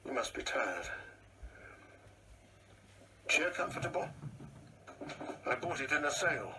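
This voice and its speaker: An actor playing a pompous, insecure old English husband in an absurdist radio play, irritable, domineering and halting as he repeats his words. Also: mid-range voice, sing-song, sharp tone, recorded from a distance, a little hiss or hum, heavily acted. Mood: fussy, musing. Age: older.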